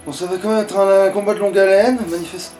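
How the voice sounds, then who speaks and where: A man's voice: high-pitched, steady tone, fast, a little mellow, faint music behind. A man giving casual, sweary, grumbling commentary while playing a video game with a friend. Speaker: let's player, at the controls. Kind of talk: casual gameplay commentary with a co-player.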